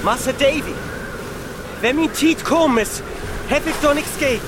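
An actor playing a brave, devout fisherman with a Low German accent in a radio drama. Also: normal speed. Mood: resolute, solemn. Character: brave, resolute fisherman, simple and devout, facing death. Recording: loud sea surf and wind noise. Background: crashing waves and wind.

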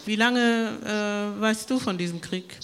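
Slow, bright-voiced woman moderating. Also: medium pitch. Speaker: panel moderator. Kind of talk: moderating a public panel discussion. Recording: faint background noise, live event.

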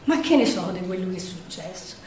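A woman's voice: fairly low pitch, lively intonation, fairly quick, darkish tone, a little hiss or hum, natural voice. Actress as a weary Roman working-class woman, roomy sound. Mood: proud, wistful. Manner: earthy, colloquial storytelling. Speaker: stage actress. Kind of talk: theatrical dialect monologue in verse. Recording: roomy, distant stage recording.

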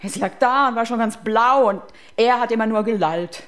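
A woman's voice: fairly high pitch, moderate pace, natural voice. A woman playing a distraught, defensive doctor confessing under questioning in a crime radio play, stammering and agitated. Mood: bitter, defiant. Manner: stammering, agitated, breaking into whispers. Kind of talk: German crime radio drama acting.

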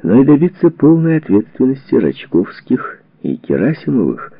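A man reading a historical book as a scripted narration, with a deliberate, formal, declamatory delivery. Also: mid-range voice, very animated, no echo. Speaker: audiobook narrator. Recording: narrowband phone-like sound, radio-like.

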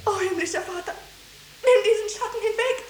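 An actress playing a haughty, hysterical teenage girl with a shrill voice in a 1950s German radio drama. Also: fairly quick. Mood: hysterical, pleading. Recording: roomy, faintly hissy 1950s radio recording.

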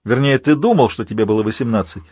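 A man voicing an insolent, taunting villain in a high, drawn-out voice for an audiobook. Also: darkish tone, slightly rough, no room sound, clean studio sound, natural voice. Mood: mocking. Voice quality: high-pitched voice. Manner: mocking, drawn-out delivery. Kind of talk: dramatic audiobook character voice.

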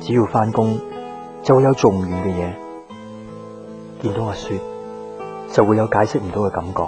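Brooding low-voiced young Cantonese man, played by an actor. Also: normal speed, natural voice. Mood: brooding, reflective. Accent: Hong Kong Cantonese. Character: brooding, guarded young office man, romantic lead. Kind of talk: radio drama acting with introspective monologue. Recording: guitar music behind the voice. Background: guitar music.

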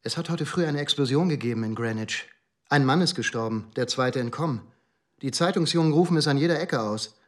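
A man's voice: medium pitch, brisk, lightly acted. A man playing a formal, insistent police inspector who questions in a clipped way in a radio crime drama.

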